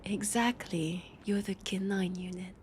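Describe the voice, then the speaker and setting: A woman playing a teasing, haughty vampire detective with a French accent, in an intimate roleplay audio drama, her dry playful lines spaced with lingering pauses. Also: mid-range voice, steady tone, darkish tone, slight background noise, close to an everyday voice. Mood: amused, teasing. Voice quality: husky, breathy with creaky edges. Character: teasing, haughty female vampire detective, sardonic new partner.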